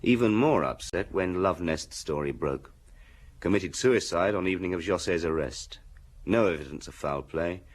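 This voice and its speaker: An actor playing a matter-of-fact police detective in a radio drama, delivering a report in clipped, factual phrases. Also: male voice, low-pitched, animated, moderate pace.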